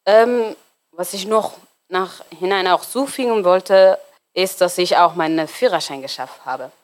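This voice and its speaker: A woman in her twenties from Somalia, German not her first language, talking as an interview guest and often pausing on fillers while searching for words. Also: on the low side. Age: 20s. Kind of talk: casual interview talk.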